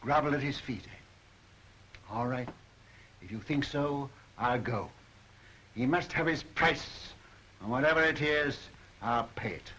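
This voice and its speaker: An actor playing a proud, determined banker in an emphatic 1930s film style. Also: male voice, mid-range voice, unhurried, long pauses, sharp tone, slight background noise, low-quality recording. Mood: bitter resolve.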